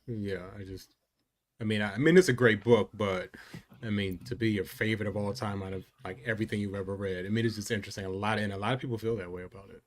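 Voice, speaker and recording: A man's voice: lowish voice, fairly even intonation, fast. A man speaking casually in a book discussion.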